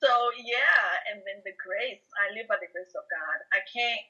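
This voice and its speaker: Dutch-speaking Belgian woman, bright lo-fi voice. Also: mid-range voice, animated, normal speed, husky. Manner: emphatic, says "you know" a lot. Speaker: guest. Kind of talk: casual interview chat.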